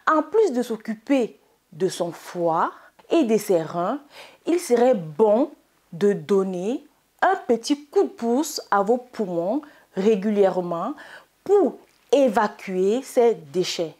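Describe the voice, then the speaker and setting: A woman's voice: mid-range voice, big pitch swings, slow pace, darkish tone. A woman presenting an explanatory health lesson as a channel host, in a didactic, step-by-step way. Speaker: host of a medicinal plant channel.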